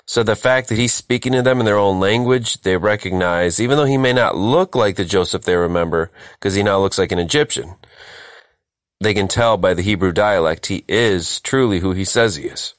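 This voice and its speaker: Low-voiced man teaching the Bible. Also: normal speed, no room sound, clean studio sound. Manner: emphatic, repeats phrases for stress. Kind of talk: verse-by-verse Bible study, reading scripture and explaining it.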